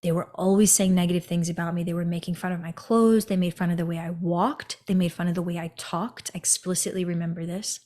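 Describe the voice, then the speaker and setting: A woman hosting a podcast, telling her own story in an earnest monologue and often turning to the listener with a quick "right?". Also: fairly low pitch, fairly even intonation, dark tone. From United States. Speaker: podcast host.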